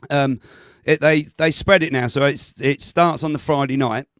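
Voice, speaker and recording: A man chatting on a video call, rambling and laughing as he talks, clearing his throat as his voice comes back. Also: medium pitch, normal speed, a bit bright, dry recording. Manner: rambling, laughs often, restarts sentences. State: voice recovering, clears throat often. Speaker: guest with local knowledge. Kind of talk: casual chat on a video call.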